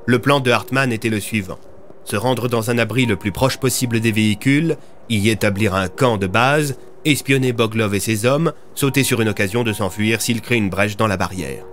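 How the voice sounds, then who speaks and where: A man's voice: on the low side, moderate pace, a bit bright, slight background noise. A man narrating a scripted audio drama as a storyteller.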